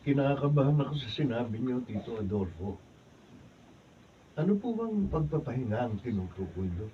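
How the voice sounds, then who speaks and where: A man's voice: medium pitch, big pitch swings, moderate pace, far from the mic, phone-quality sound, a little hiss or hum, close to an everyday voice. A man playing a humble, dutiful nephew. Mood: respectful. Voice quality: polite, respectful tone.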